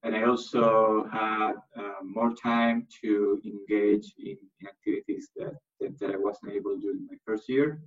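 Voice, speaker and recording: A man's voice: fairly low pitch, fairly even intonation, normal speed, few pauses, far from the mic, muffled recording. A young man from Chile answering interview questions, speaking hesitantly with many fillers and restarts. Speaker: interview guest, MBA graduate.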